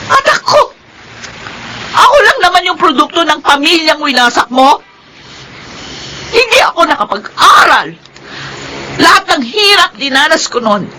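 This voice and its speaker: A kind yet bitter Filipino wife in a radio drama. Her voice is high and bright, delivered with earnest emotion, over faint background noise. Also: full character voice, rough recording. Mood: tearful, anguished. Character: kind working wife Meli, bitter toward her uncle.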